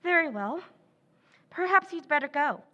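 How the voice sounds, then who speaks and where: Radio-play schemer: woman doing a cutting, sneering sister, high bright voice. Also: expressive, moderate pace, dull audio. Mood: contemptuous. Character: scheming, contemptuous younger sister, adult woman. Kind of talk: old-time radio drama recreation.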